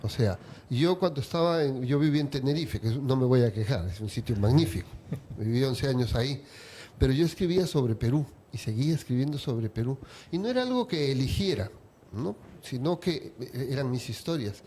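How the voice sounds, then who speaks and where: A man from Peru, a writer, answering interview questions in a reflective, unhurried way. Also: medium pitch, expressive, mellow, a little hiss or hum. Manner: reflective, unhurried, with small restarts.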